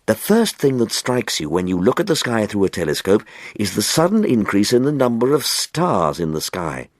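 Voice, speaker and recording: A man's voice: lowish voice, very animated, normal speed, dry recording, clean studio sound. Rapturous amateur stargazer, acted by a male reader, swooping expressively. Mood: awed. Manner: rapturous, emphatic, lingering on words. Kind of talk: audiobook character dialogue.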